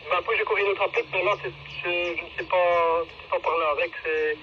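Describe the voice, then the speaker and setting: Noisy, distant two-way radio, hesitant Belgian man. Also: fairly high pitch. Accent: Belgian French. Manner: rambling, hesitant, repeats himself. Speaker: amateur two-way radio user. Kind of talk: casual radio chat. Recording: dull lo-fi two-way radio, clear noise, distant.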